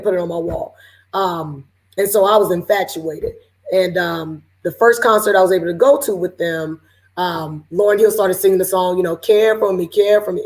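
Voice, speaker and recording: A woman from Seattle with a raspy voice, hosting a casual panel chat over a video call with lots of fillers. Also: lowish voice, poor audio. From Seattle, Washington. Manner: relaxed, chatty, lots of fillers. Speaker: panel host.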